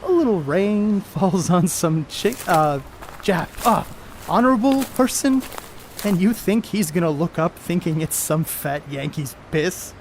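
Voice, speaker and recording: A crude, boastful middle-aged baseball star acted by a man in a period stage play. His voice sits high and swings freely as he tosses out cocky slang. The recording has clear background noise. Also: animated, a little slow. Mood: careless, joking. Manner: cocky, slangy, coarse-mouthed. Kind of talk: stage play acting, 1930s American banter.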